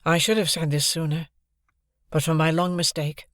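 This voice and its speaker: A man's voice: a little high, level tone, moderate pace, a bit bright, dry recording, studio quality, voice pushed up, close to an everyday voice. Man as a heartfelt, pleading young suitor, slightly raised voice, storybook. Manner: pleading, earnest. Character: earnest lovesick young man, trembling.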